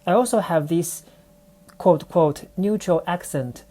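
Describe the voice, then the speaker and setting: A woman with a Chinese accent tells her own story in English. Her voice sits low and mellow, and her delivery is careful and reflective, like a podcast host speaking alone to her listeners. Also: measured pace. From China. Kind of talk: personal storytelling monologue.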